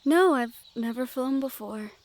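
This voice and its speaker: Formally spoken, gentle fantasy noblewoman, acted by a woman. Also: high-pitched. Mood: friendly, curious. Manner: formal, measured phrasing with few contractions. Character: gentle, curious noble young woman, ward of a dragon bodyguard. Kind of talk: fantasy audio roleplay, speaking to the listener. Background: outdoor garden ambience with birdsong.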